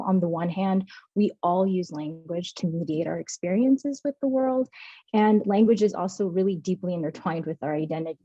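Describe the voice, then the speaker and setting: A woman presents an academic webinar over a video call, earnest and thoughtful, with frequent hesitations. Her voice sits low and mellow. The sound is dry and dull, like lo-fi call audio. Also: no room sound. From United States. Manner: earnest, many "um" fillers.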